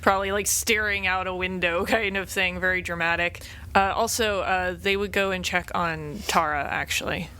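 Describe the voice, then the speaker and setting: Bright-voiced, tentative woman gamer. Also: on the low side, steady tone, normal speed, hardly any pauses, a little hiss or hum. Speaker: tabletop game player. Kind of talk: casual improvised description of character actions.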